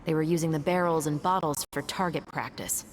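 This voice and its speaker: Low-voiced, composed woman detective, acted by a woman. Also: a little hiss or hum, natural voice. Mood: calm, assured. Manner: measured, articulate, analytical. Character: composed, methodical young woman detective investigating a murder. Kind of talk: scripted video game dialogue.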